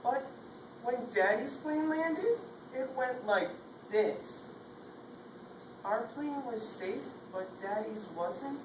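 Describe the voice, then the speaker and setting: A woman's voice: on the high side, expressive, takes it slowly, long pauses. A young woman playing a small grieving boy who asks innocent questions, in a student readers theatre. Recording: echoey hall from far off, noisy.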